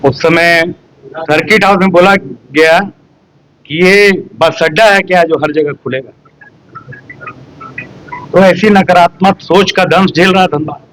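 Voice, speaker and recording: A man's voice: sits high, fairly even intonation, takes it slowly, pauses often, a bit bright, rough recording. A man speaking earnestly and rhetorically to reporters at a press interview. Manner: earnest, rhetorical, complaining about officials. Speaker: local activist interviewed by press.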